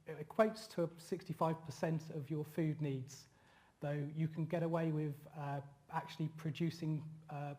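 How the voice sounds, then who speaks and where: A man giving a talk to an audience about planning, pausing often with fillers and restarting phrases. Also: fairly high pitch, darkish tone. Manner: halting, many fillers and restarts. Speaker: conference speaker. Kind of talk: presenting a talk with slides.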